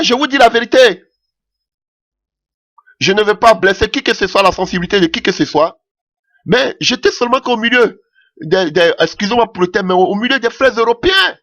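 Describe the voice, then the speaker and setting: A man's voice: high-pitched, big pitch swings, moderate pace, pauses often, bright, forceful tone. A man preaching a sermon in French that is not his mother tongue, impassioned and punctuating his points with "Alléluia".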